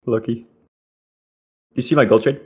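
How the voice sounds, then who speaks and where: A young man streaming a game live, reacting excitably and swearing as he plays. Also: medium pitch, slow pace, breathy edge. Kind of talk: live gaming commentary.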